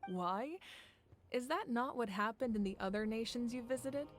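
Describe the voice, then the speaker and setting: A woman playing a calm, commanding ruler of a fire nation who speaks in a composed, measured, earnest way. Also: fairly high pitch, natural voice. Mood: steady. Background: game music.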